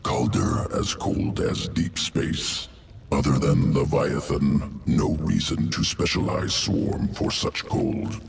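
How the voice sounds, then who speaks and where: A man voicing a cold, clinical alien insectoid evolution master in a video game, with a guttural creature rasp and clipped, telegraphic, detached speech. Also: rumbling low, lively intonation, a little hiss or hum, full character voice. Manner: clipped telegraphic sentences without articles, detached and deliberate. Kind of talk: video game character acting. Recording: music behind the voice.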